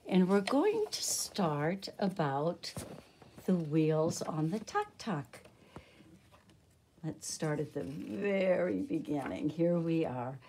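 An older woman, in her sixties, reading aloud to young children as their teacher, with deliberate, expressive, simple phrasing. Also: low-pitched. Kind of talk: children's read-aloud storytelling. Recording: noisy amateur room recording with clicks.

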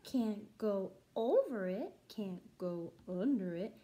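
A young woman, a music teacher, reading a children's picture book aloud in a rhythmic, sing-song, deliberate chant. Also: mid-range voice, expressive, noisy background. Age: young adult. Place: small room at home, indoors.

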